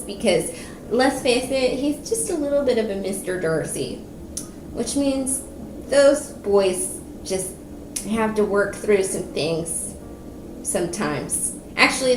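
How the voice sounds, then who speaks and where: A woman with a fairly high voice chats enthusiastically as a book reviewer. Her pace is on the slow side and the delivery is casual. The recording has a clear roomy echo. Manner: enthusiastic, chatty. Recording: roomy echo with background noise.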